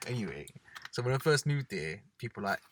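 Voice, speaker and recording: A man from England co-hosting a casual chat in a parked car, animated and quick to imitate others. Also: fairly low pitch, a little hiss or hum. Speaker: podcast co-host.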